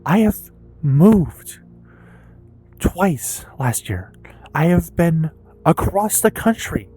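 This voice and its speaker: A woman in her early twenties with an American accent talks candidly and casually in a confessional personal vlog, rambling haltingly with pauses and some swearing. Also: lowish voice, very animated, mellow, breathy edge, soft background music. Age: early 20s. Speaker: vlogger and streamer.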